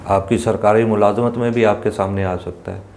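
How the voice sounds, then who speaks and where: Low-voiced Pakistani man explaining the law. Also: steady tone, moderate pace, creaky, darkish tone. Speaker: lawyer guest on a legal podcast. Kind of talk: explanatory interview answers.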